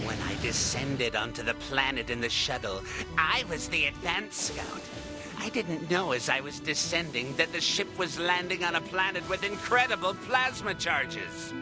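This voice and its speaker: A man playing an embittered, centuries-old space explorer turned villain in an English anime dub, speaking in a measured, formal, sardonic way. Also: on the high side. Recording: music behind the voice.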